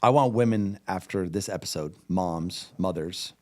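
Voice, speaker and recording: A man co-hosting a married couple's podcast, talking in an earnest, relaxed way with some rambling. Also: deep voice, unhurried. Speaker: podcast co-host. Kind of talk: casual chat.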